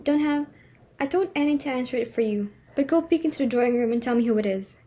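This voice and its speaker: A woman acts out a haughty society hostess and mother from a stage comedy, with a high voice. She is imperious and quick to scold, easily flustered and full of indignation. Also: mellow, slight background noise, close to an everyday voice. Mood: irritated. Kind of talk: dramatic reading of a stage comedy.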